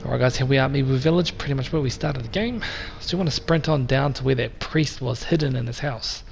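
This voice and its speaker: Brief: male gaming host, gravelly and a little croaky, relaxed chatty commentary, game noise underneath. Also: mid-range voice, fast. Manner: relaxed and chatty, talks straight to viewers. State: slightly croaky voice. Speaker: let's play host. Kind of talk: casual gameplay commentary. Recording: voice over game sound noise.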